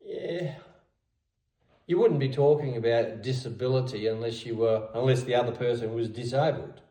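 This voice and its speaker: A man's voice: mid-range voice, normal speed, warm tone. Patient man tutoring English. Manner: patient, repeats words, stammering restarts. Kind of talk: casual one-on-one language lesson. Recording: roomy, distant from the mic.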